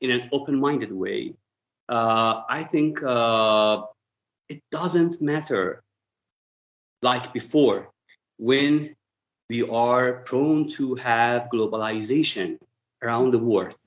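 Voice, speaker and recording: Man, interview guest, slow, thoughtful and halting, muffled lo-fi sound. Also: mid-range voice, fairly bright tone. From Iran. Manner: thoughtful, halting with frequent fillers. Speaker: guest expert. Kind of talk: interview conversation in English.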